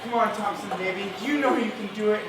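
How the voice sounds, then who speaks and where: A young man playing an overwrought, desperate sports fan in a stage comedy, halting and melodramatic. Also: high-pitched, natural voice. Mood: desperate hope. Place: theater stage, large auditorium. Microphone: far camera. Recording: echoey, noisy auditorium from far off.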